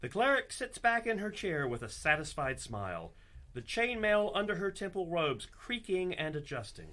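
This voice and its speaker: A man's voice: sits high, big pitch swings, normal speed, sharp tone, heavily acted. A man acting as the theatrical narrator of a fantasy comedy radio play, reading scripted scene descriptions. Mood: wry storytelling.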